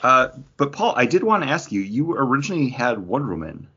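A man's voice: mid-range voice, big pitch swings, fairly quick, pushed and bright, slightly rough, muffled recording. A man co-hosting a podcast, chatting casually as he steers the discussion and asks questions. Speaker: podcast co-host leading discussion. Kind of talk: casual chat about comics.

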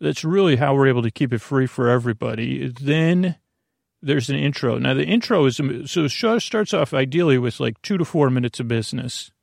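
A man with a creaky voice hosting a bedtime podcast, rambling haltingly through tangents in a soothing, lulling way. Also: mid-range voice, darkish tone, dry recording, studio quality. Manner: meandering, halting, full of tangents. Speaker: podcast host.

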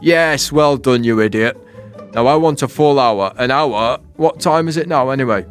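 Man playing a demanding, loud night sky creature, lecturing, faint music under. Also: mid-range voice, steady tone, unhurried, close to an everyday voice. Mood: bossy. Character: bossy, demanding fallen piece of night sky.

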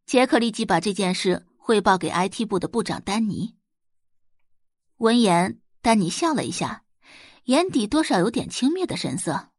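A woman's voice: mid-range voice, expressive, normal speed, husky, dry recording, clean studio sound. A woman narrating a scripted audio drama as a storyteller.

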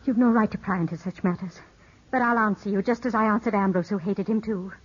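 A woman plays an elegant widow, gently sorrowful and guarded, with measured, earnest delivery from 1950s radio drama. Her voice is veiled and breathy, on a dull old broadcast recording. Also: medium pitch, natural voice. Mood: wounded dignity.

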